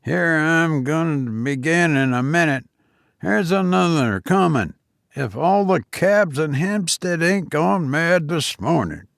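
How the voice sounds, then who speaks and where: Stout old gossiping cabman for a story male reader, raised pitch, slangy and amused. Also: expressive, slow, gravelly, fairly bright tone, no echo, clean studio sound, full character voice. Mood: astonished. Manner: colloquial dropped-g street speech.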